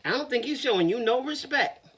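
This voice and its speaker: Bunny, small and cheeky, high husky chatter, a woman doing it. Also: lively intonation, a little fast, fairly bright tone. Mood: sly. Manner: chattering, sly and coaxing. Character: quick clever female bunny, small and cheeky.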